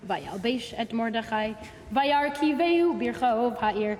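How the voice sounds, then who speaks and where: Woman narrator for liturgical scripture chant, high-pitched, recorded in a reverberant hall. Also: expressive, a little mellow, soft background music. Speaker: narrator in a group scripture reading.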